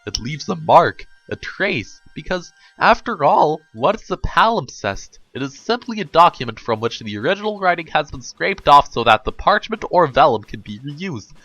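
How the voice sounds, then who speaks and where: A man's voice: a little high, sing-song, normal speed, few pauses, pushed and bright, dull audio, exaggerated voice. A man voicing an earnest girl leader who lectures didactically and emphatically in a storybook reading. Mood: earnest lecturing.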